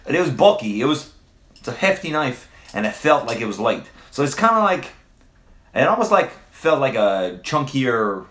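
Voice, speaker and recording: A man's voice: medium pitch, very animated, normal speed, fairly bright tone. A man from Quebec whose first language is French, hosting a livestream and answering chat questions in a loose, hesitant way with fillers and swearing. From Quebec, Canada. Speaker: livestream host. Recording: roomy echo.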